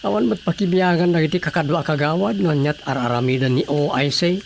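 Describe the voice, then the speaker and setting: An actor playing a concerned, grumbling village councilor in a radio drama comedy, with a Filipino accent. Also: male voice, a little high, a little fast, creaky. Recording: faint background noise.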